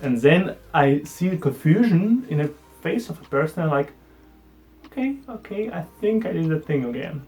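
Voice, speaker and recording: A man's voice: a little high, very animated, normal speed, a little mellow. Chatty young man with a Ukrainian accent. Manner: chatty, self-deprecating, slightly non-native phrasing. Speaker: vlogger. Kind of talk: casual talking-head vlog.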